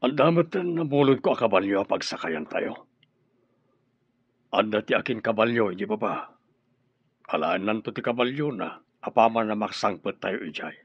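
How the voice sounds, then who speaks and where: A man's voice: lowish voice, lively intonation, moderate pace, long pauses, slightly rough, dry recording, highs cut off. A man acting a cautious schemer in an Ilocano radio drama, earnest and insistent, with an Ilocano accent. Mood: reassuring. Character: cautious, scheming man planning a disguised getaway.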